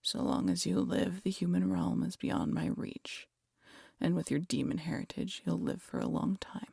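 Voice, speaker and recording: A woman playing a proud, vengeful demon queen in an audio roleplay, theatrical and menacing, often dropping to a breathy hush. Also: fairly low pitch, sing-song, normal speed, dry recording, clean studio sound, heavily acted. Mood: bitter resignation. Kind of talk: scripted audio roleplay addressed to listener.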